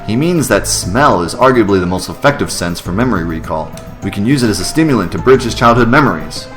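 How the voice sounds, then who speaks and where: Casting: man to play a dry, brisk female scientist in a story game, explanatory, over music. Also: fairly low pitch, animated, lightly acted. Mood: eager, explaining.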